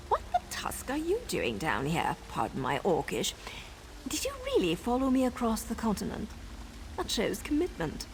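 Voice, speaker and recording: An actress playing a sardonic, self-assured assassin in a video game, with a teasing, wry way of talking. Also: female voice, fairly high pitch, a little hiss or hum. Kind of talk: video game character acting.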